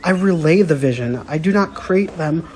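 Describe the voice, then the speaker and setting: A man's voice: fairly high pitch, darkish tone, natural voice. A man voicing a cryptic, solemn female seer in a fantasy radio drama, speaking portentously. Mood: firm. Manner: slow, portentous.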